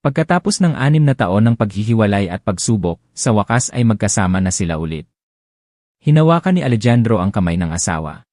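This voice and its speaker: A man narrating a romance audiobook in a gentle, expressive storytelling manner. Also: fairly low pitch, brisk, no echo, clean studio sound.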